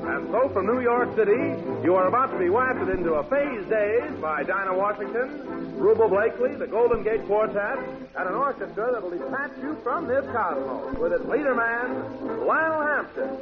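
A man's voice: sits high, animated, moderate pace, narrow, tinny audio. A man announcing a 1940s radio variety show in grandiose, jokey, flowery jive patter over music on an old recording. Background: loud music.

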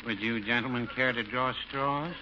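An actor playing a mild, folksy small-town druggist with a dry manner in a 1940s radio comedy. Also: male voice, medium pitch, steady tone, moderate pace, natural voice. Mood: drily patient. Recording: dull, noisy vintage radio recording.

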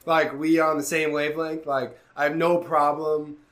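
A young man with a somewhat high voice tells stories in a loose, animated way. He swears freely and leans on "like" constantly. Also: moderate pace. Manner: animated storytelling, swearing, frequent "like". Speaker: podcast host. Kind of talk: casual chat.

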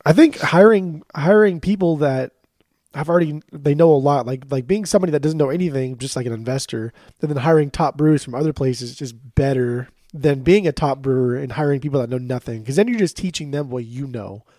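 Stuffy-nosed guy on a podcast, mellow dark voice, dry close mic, playful. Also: on the high side, fairly quick, dry recording. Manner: teasing, playful banter. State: congested, stuffed up. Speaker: podcast co-host. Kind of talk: casual chat.

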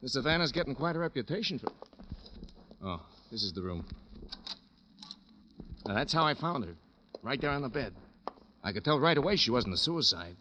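An actor playing a jaded, rambling hotel clerk who philosophizes self-importantly in an old radio drama. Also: male voice, medium pitch, sing-song, normal speed, pauses often, sharp tone. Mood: resigned, chatty. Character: chatty, jaded male clerk of a seedy hotel. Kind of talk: 1950s radio drama character acting. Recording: dull, noisy vintage radio recording.

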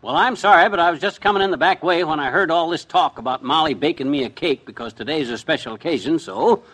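An actor playing a blustering, folksy middle-aged husband in a 1950s radio comedy. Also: male voice, on the high side, quick pace, a bit bright, slightly rough. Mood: flustered. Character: excitable, forgetful middle-aged husband with a big appetite.

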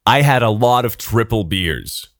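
A man's voice: lowish voice, expressive, a little slow, fairly bright tone, no echo, studio quality. A man co-hosting a casual podcast, speaking English as a non-native language and telling a profane story while acting out his own lines. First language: not English. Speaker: podcast co-host.

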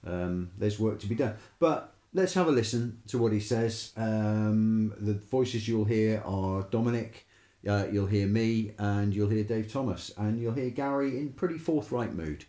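A man's voice: on the low side, a little slow, warm tone. A man hosting a football podcast, chatting casually about the game. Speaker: podcast host. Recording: roomy, echoey voice pickup.

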